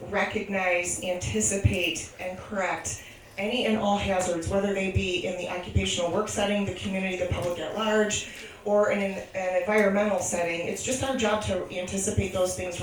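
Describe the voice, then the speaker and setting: A woman on a panel lays out her points in earnest, rhythmic lists. Her voice sits in the middle range and carries a long, booming echo. The mic picks her up from a distance in a large hall, with faint noise underneath. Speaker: industrial hygienist speaking on a panel. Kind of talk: public forum talk.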